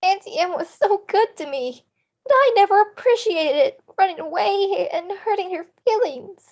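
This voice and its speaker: A woman's voice: very high voice, expressive, normal speed, a little mellow, muffled recording. A woman playing an earnest, plaintive young farm girl at a table read. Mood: tearful, regretful. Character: earnest, tender-hearted young farm girl, easily upset. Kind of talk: screenplay table read acting.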